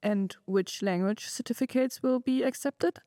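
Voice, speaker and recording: Brisk woman hosting a podcast. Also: a little high, dark tone, dry recording, clean studio sound. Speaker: podcast host. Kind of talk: scripted podcast intro and interview questions.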